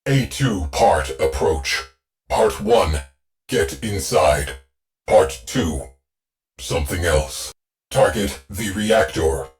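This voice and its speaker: A game's terse ship AI, deep and slow, dry deadpan orders, faint music under. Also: male voice. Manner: clipped, deadpan tactical briefings. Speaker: ship's onboard AI. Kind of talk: video game voice lines.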